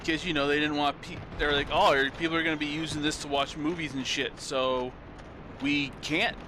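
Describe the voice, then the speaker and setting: A man's voice: a little high, level tone, a little fast, noisy background. A young man giving loose, rambling commentary while he plays, swearing casually, on a desk mic at home. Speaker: streamer. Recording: game music loud behind voice.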